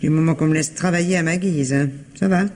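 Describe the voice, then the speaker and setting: A woman's voice: sits low, fairly even intonation, a little fast, darkish tone, slight background noise, natural voice. A woman acting as a curt, grudging housekeeper in a French radio mystery, brusque and colloquial. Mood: grudging, gossipy. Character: gruff, curt housekeeper, grudging and plain-spoken.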